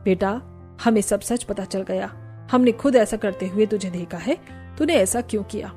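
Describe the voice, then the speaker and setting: A woman's voice: medium pitch, moderate pace, mellow, lightly acted. Lady doing middle-aged indian mom-in-law for a cartoon, kind but firm, explains things plainly, light music. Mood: reproachful. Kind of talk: acted dialogue in a moral family cartoon.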